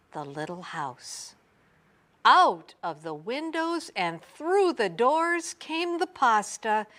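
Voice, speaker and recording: A woman reading a children's storybook aloud in an expressive, theatrical way, sometimes dropping to a hush. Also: medium pitch. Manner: expressive, theatrical, drawn-out phrasing with hushed drops. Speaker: storybook reader. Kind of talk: dramatic children's read-aloud narration.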